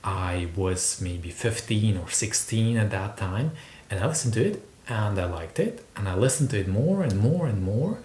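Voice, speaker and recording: A man's voice: expressive, normal speed, a little mellow. A man from Slovakia with a Slovak accent, talking casually to camera in a hesitant, rambling way, often repeating words. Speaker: video host, record collector. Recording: roomy echo, noticeable low rumble noise.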